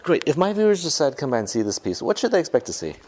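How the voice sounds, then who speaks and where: A man's voice: a little high, big pitch swings, racing, darkish tone. A man working as a radio host, doing interviews and paying effusive compliments. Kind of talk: on-location interview.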